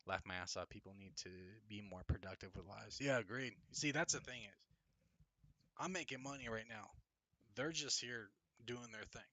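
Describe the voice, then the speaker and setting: Young male streamer, slangy and scornful, bright lower voice, dry close mic. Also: moderate pace, no echo. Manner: mocking, laughing often, profane, slangy. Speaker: livestream host. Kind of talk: casual livestream chat reading comments. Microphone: desk microphone.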